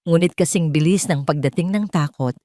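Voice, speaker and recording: A woman's voice: sits low, a little fast, warm tone, no room sound, studio quality. A woman reading a scripted romantic drama as a storyteller, with dramatic narration.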